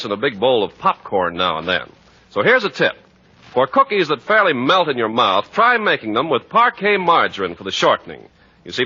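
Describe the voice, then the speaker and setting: A man's voice: medium pitch, sharp tone, breathy edge. A man working as a 1940s radio announcer, reading a script with polished, emphatic salesmanship. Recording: muffled 1940s radio recording.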